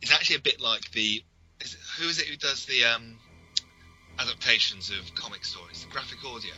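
A man co-hosting a podcast, giving detailed but casual explanations and opinions in a slightly halting way. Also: medium pitch, bright, forceful tone, breathy edge, faint music behind, poor audio. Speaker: podcast co-host.

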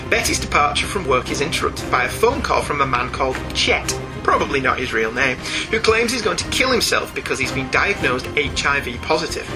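A man hosting a podcast, reading out a scripted review in a narrator's style. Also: on the high side. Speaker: podcast host.

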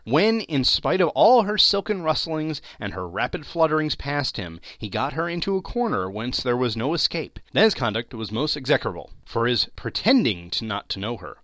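A man giving a dramatic, theatrical reading of a classic story as narrator, with expressive emphasis. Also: medium pitch, no echo. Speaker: podcast host, narrator.

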